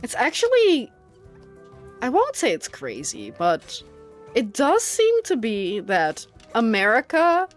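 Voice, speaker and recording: Young dutch woman streaming, chatty and giggly, highish voice that bounces around, game music low underneath. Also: wildly swooping pitch, slow. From Netherlands. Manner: rambling, giggly, many fillers. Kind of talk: casual chat while gaming.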